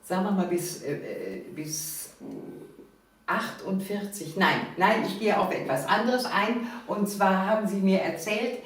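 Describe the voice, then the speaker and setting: A woman's voice: mid-range voice, animated, a little slow. Very echoey, distant woman hosting. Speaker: host, interviewer. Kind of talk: conversational interviewing. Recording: echoey room, distant microphone.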